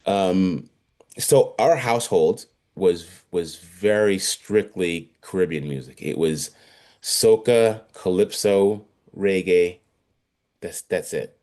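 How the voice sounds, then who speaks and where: A man in his fifties from Trinidad, a guest musician talking casually in an interview, halting and restarting often. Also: deep voice, warm tone. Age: 50s. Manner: halting, many restarts and fillers. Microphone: video call.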